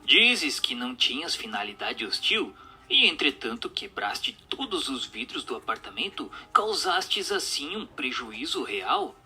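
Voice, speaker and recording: Man as a forceful, formal séance questioner. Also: medium pitch, wildly swooping pitch, unhurried, full character voice. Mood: inquisitive. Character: formal, inquisitive séance questioner and narrator, male. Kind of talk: scripted radio drama dialogue and narration. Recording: music under the voice.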